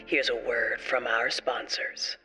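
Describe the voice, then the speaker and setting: An actor playing a vampire radio DJ, announcing a show like a late-night host. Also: male voice, mid-range voice, flat delivery, slow, pushed and bright. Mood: inviting. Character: smooth vampire radio DJ hosting a hits show. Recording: prominent music under voice.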